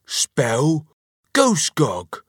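Storybook husband, acted by a male reader: loud, bossy, foolish, blustering, with lively swings in pitch. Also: drawn-out words, no room sound, clean studio sound, heavily acted. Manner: blustering, demanding.

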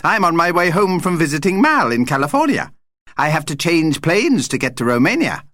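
Man voicing a chirpy, high-pitched little vampire. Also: big pitch swings, normal speed, fairly bright tone, no room sound, clean studio sound. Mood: chatty, tired. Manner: effusive, dramatic exclamations. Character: tiny theatrical male vampire, chirpy and opinionated.

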